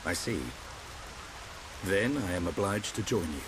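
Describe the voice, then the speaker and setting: A resolute, seasoned warrior in a game cutscene. His speech is measured and dryly witty, with lively intonation. Clear rain-like noise sits behind the dialogue. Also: low voice. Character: seasoned, resolute warrior, grave with dry humour. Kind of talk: video game cutscene voice acting.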